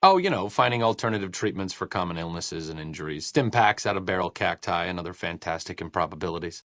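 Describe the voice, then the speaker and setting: A man's voice: sits low, animated, quick pace, fairly bright tone, no echo. A man playing a wry, bookish, self-deprecating doctor and researcher in a video game, with dry, erudite understatement. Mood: dryly musing. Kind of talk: video game character dialogue.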